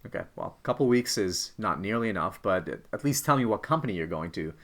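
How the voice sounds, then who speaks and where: Man playing a low-voiced hostile boss. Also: expressive, fast talker, a bit bright. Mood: pressing, stern. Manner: curt, accusing, threatening. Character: hostile male boss, angry at quitting employee, pressuring. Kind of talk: workplace role-play.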